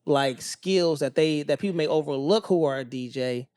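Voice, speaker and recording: A man's voice: on the high side, normal speed, a little mellow, clear, resonant tone, dry recording, studio quality. A man from Oakland, hosting a casual conversational interview in an emphatic, slangy, encouraging way. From Oakland, California. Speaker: podcast host.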